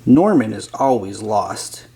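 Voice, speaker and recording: A man's voice: lowish voice, animated, takes it slowly, mellow. A man reading a children's picture book aloud as a scripted narrator.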